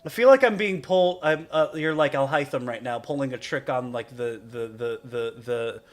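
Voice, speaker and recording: A woman's voice: rumbling low, moderate pace, soft background music. A woman giving casual, comedic live commentary as she streams a game. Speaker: streamer reacting to game dialogue.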